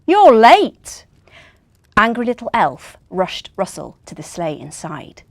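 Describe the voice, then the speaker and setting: A woman's voice: on the low side, lively intonation, normal speed, creaky, fairly bright tone, no echo. A woman reading a children's story expressively.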